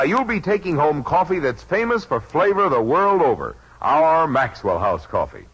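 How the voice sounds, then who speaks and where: Male vintage commercial announcer, husky and animated, dull radio sound. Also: medium pitch. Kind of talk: 1950s radio ad read. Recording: dull old radio recording.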